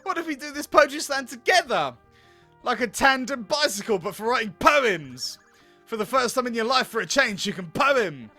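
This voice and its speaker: A man as overconfident Kyan, high and dramatic. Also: very animated, moderate pace, pushed and bright, faint music behind, heavily acted. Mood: enthusiastic. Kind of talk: comedy visual novel character voice.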